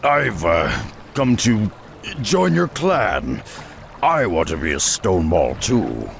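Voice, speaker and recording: A half-orc warrior from a fantasy game. His voice is extremely low and full of gravel. He speaks tersely, with calm resolve, over a noisy bed of ambience. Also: takes it slowly, exaggerated voice. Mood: resolute. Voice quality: very deep, gravelly. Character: gruff, steady half-orc warrior hero. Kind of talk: video game cutscene acting.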